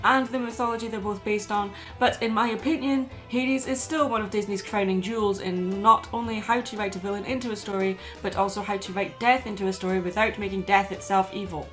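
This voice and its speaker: A woman narrates a scripted video essay in a mid-pitched voice, with faint music underneath. She sounds like a commentator laying out an argument.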